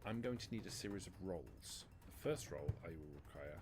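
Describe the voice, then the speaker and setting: A man working as a game master, narrating a tabletop roleplaying game in a descriptive, dramatic way with deliberate pauses. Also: low-pitched, expressive, music in the background. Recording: noticeable background noise.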